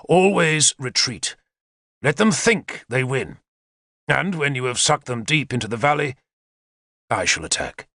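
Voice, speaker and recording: A man's voice: medium pitch, lively intonation, normal speed, bright, forceful tone, no echo, clean studio sound, voice pushed up. A man voicing a confident male war leader who eagerly lays out battle plans, in a decisive, commanding manner. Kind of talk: audiobook character reading.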